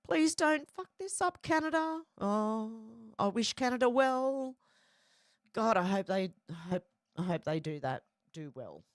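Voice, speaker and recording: A woman's voice: fairly high pitch, lively intonation, unhurried, dry recording, clean studio sound. Irish-born woman reading and commenting on news. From Ireland. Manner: chatty, reacts aloud between readings.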